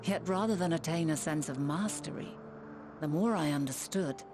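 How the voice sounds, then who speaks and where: A serene ancient scholar voiced by a woman, speaking in a very low, grave voice with slow, reverent, old-fashioned phrasing. Her lines come as game cutscene dialogue over a swelling orchestral score. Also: music in the background, close to an everyday voice. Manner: slow, solemn and reflective, archaic phrasing.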